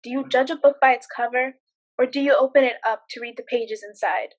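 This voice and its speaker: A woman's voice: a little high, steady tone, a little fast, lo-fi sound, natural voice. A young woman playing an outspoken young paralegal in a student stage drama, with a sarcastic, firm way of talking. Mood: indignant, scolding. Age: young adult. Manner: sarcastic, firmly lecturing.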